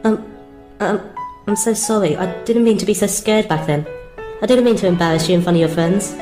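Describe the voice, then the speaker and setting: A woman's voice: mid-range voice, fairly quick, lightly acted. Lost, frightened small boy for a game, played by a woman: pleading, timid, music underneath. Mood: scared, pleading. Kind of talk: dramatic game voice acting. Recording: music behind the voice.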